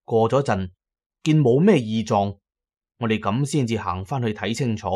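A man's voice: lowish voice, normal speed, a little mellow. A man with a Hong Kong Cantonese accent narrating an adventure story in the first person, as in an audio drama.

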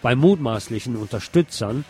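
A man's voice: medium pitch, lively intonation, moderate pace, noisy background. A man reading scripted news in the role of a radio news presenter.

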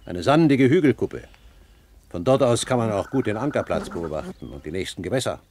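An actor in a German radio play voicing a suave, calculating pirate captain, polished, measured and coolly authoritative. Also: male voice, lowish voice, very animated, darkish tone, a little hiss or hum. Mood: smooth, persuasive.

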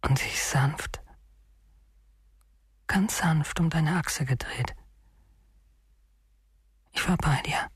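A man's voice: on the high side, drawn-out words, pauses often, slightly rough, no echo, studio quality, heavily acted. An actor playing a deranged, obsessive male killer in his thirties in a German radio crime drama, pleading intimately and often sinking toward a whisper. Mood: tender, obsessed. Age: 30s.

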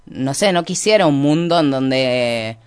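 Argentine man, clear smooth voice, reflective. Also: mid-range voice, lively intonation. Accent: Argentine Rioplatense. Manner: halting, reflective, frequent hedging and self-correction. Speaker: interview guest, activist and researcher. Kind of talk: unscripted radio interview, explaining ideas.

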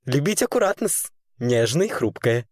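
A woman's voice: extremely low pitch, very animated, moderate pace, a little mellow, no echo, studio quality, pitched below the performer's own voice, heavily acted. A woman voicing an eccentric, gleeful and tender male weaver from a storybook, with quaint old-fashioned, coaxing speech. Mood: playful. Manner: archaic servile "-s" endings, coaxing endearments.

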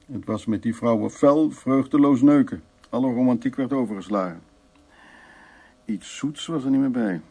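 An actor playing a cynical, worldly man who recounts his past in a wry, coarse radio drama monologue, with a raspy voice. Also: mid-range voice, normal speed, dark tone. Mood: coarse, mocking.

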